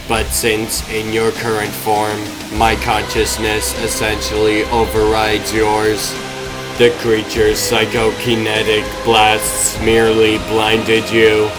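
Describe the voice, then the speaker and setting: A man performs a domineering artificial intelligence for a comic dub. The delivery is clinical, formal and unhurried, cold and commanding over music. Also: fairly low pitch, low-quality recording.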